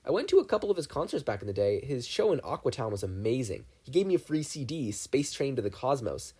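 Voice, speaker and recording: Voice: medium pitch, sing-song, fast, dark tone, slightly rough. A reader doing a blustering old shop owner. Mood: blustery. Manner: dismissive, blustering. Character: gruff older man, stubborn shop owner.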